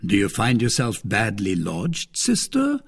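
A man reading the part of a cold, contemptuous English nobleman, measured and mocking. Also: on the low side, wildly swooping pitch, gravelly, slightly rough, full character voice. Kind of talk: audiobook character reading.

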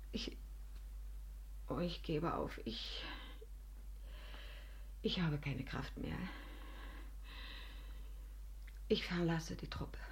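Middle-aged rejected lover in radio drama, drawn out and pleading, emotional, often hushed. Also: female voice, lowish voice, creaky, exaggerated voice. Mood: despairing, weary. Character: aging, spurned longtime companion and actress, imploring, weary. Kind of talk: classic German radio play acting.

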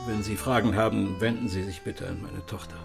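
A man playing a kindly, courteous old gentleman and doting father in a radio drama, speaking in a measured way. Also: on the low side, fairly even intonation, vocal fry, over music. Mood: courteous, businesslike.

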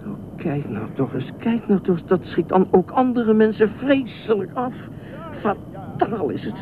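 A man's voice: high-pitched, very animated, unhurried. A man in a radio play acting an eccentric, talkative lifeguard, anxious and pleading, rambling and repeating himself. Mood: fretful, confiding. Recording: tinny band-limited radio play, faint noise.

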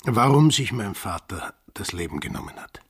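An actor playing a gruff, hard-drinking sailor in a radio drama, brusque and suspicious. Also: male voice, lowish voice, animated, moderate pace. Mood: brusque, demanding. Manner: brusque, demanding.

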